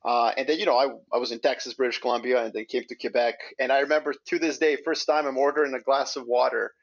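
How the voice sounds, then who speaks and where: A man from Serbia, a guest on an interview podcast, talking in an upbeat, animated storytelling way. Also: mid-range voice, fast talker, highs cut off. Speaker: guest, investment strategist. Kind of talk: casual interview chat. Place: remote, in Switzerland.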